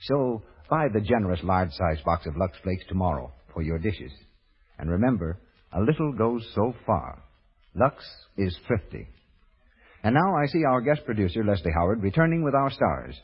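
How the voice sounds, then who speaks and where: A man announcing and selling in the polished style of a 1930s radio commercial, with a husky edge. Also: fairly low pitch, very animated, moderate pace. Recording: muffled reverberant old radio broadcast.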